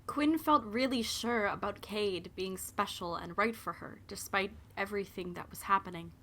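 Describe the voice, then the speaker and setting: A woman's voice: mid-range voice, normal speed, fairly bright tone. A young woman playing an emotionally invested, digressive narrator of a romance in an audio drama. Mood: reflective.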